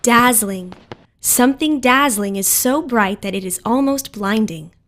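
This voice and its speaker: A woman's voice: a little high, measured pace, a bit bright. A woman reading vocabulary words, definitions and example sentences from a script for learners. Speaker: vocabulary narrator.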